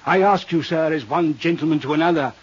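Florid old gentleman villain, muffled radio sound. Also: a little high, brisk, breathy edge. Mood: gleeful, grandiose. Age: older. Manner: florid, theatrical, courtly phrasing with Latin flourishes. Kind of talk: 1950s radio drama acting. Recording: muffled 1950s radio recording.

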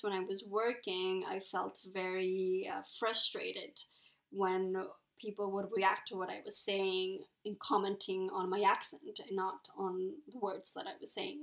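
A woman's voice: mid-range voice, little pitch movement, a little slow, gravelly, low-quality recording. A young woman from Ecuador, a guest in a casual interview, talking thoughtfully with lots of ums and likes. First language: Spanish. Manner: thoughtful, many fillers like "um" and "like".

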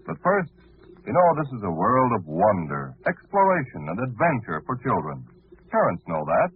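A man's voice: medium pitch, breathy edge. A man announcing in an emphatic, dramatic, scripted 1940s radio style. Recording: muffled old radio broadcast.

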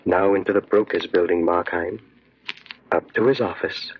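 A man playing a sinister inner voice that tempts and commands with slow, insistent urging, in 1940s radio horror style. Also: sits low, steady tone, husky. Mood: urging, smug. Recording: muffled, crackly old radio recording.

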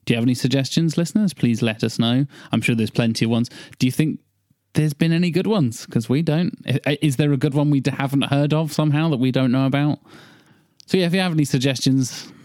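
A man's voice: medium pitch, fast talker, a little mellow, no echo, clean studio sound. A man hosting a podcast with casual banter. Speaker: podcast host.

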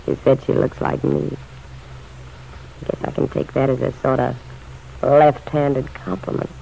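A woman's voice: rumbling low, little pitch movement, measured pace, gravelly, warm tone, exaggerated voice. An actress playing a bitter, pleading, lovelorn city woman in a vintage radio drama, blunt, slangy and insistent. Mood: wistful, probing. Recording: dull, noisy old radio recording.

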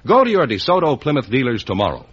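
Male announcer, American, emphatic vintage commercial read, raspy edge, muffled old broadcast recording. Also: mid-range voice, sing-song, fast, a bit bright. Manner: emphatic salesmanship. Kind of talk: 1950s radio car commercial, scripted announcing. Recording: dull vintage radio recording.

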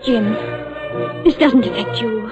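A woman's voice: a little high, slow. A woman acting an unhappy, defiant wife caught in forbidden love in a 1940s radio thriller, earnest and dramatic. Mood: troubled, earnest. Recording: dull 1940s radio recording with music.

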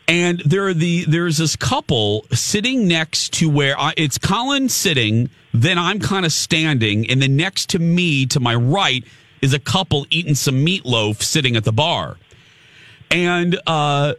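A man co-hosting a morning radio show, telling a story in a lively, chatty way with laughs, close on a studio microphone. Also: a little high, no echo.

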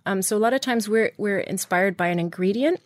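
A woman's voice: medium pitch, a little fast, no room sound, clean studio sound. A middle-aged woman from Iran, founder of a beauty brand, answering interview questions with eager enthusiasm and many restarts.

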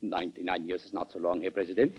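An actor playing a formal, haughty ambassador in an old-time radio drama, courteous but stiffly evasive. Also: male voice, low voice, steady tone, quick pace, breathy edge. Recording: dull old radio broadcast recording.

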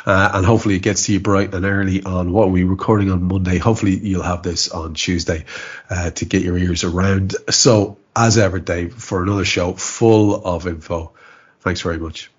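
A congested man with a cold co-hosts a football chat show in a low, husky voice. He talks hesitantly and stumbles over repeated words. The sound is dull, like a remote call. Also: steady tone, moderate pace. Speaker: podcast co-host. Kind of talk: casual football podcast chat.

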